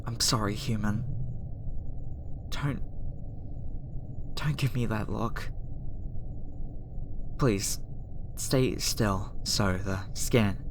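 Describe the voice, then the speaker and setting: A woman voicing a lonely, tender alien girl scientist in an ASMR roleplay, hesitant, halting and apologetic. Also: a bass voice, heavily acted. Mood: regretful. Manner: hesitant, soft-hearted, halting. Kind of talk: ASMR roleplay audio drama. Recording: clear background noise, ambience.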